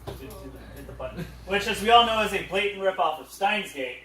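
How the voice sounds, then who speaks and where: A man's voice: high voice, lively intonation, moderate pace, bright, forceful tone. A man giving a comedic panel presentation as a host, swearing freely in a mock rant. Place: convention panel room. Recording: echoey room, far from the mic.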